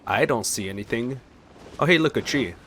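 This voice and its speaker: A man's voice: mid-range voice, expressive, normal speed, fairly bright tone, a little hiss or hum. A young man giving casual, easygoing let's play commentary with a playful, sarcastic touch.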